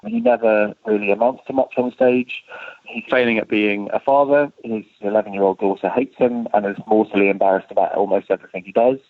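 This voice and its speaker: A lowish-voiced man speaks articulately in an interview, laying things out like a story. He sounds like he is on a narrow, tinny phone line with a dry sound. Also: lively intonation, brisk, vocal fry, dry recording. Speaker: theatre artistic director and playwright being interviewed. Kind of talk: informal interview, explaining a play.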